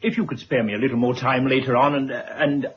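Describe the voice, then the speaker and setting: A man's voice: mid-range voice, steady tone, fast talker, natural voice. An actor playing a polite, deferential male visitor in a radio drama, apologetic in manner. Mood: politely apologetic. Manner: apologetic, courteous. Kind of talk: 1970s radio drama acting. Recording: muffled, hissy old radio recording.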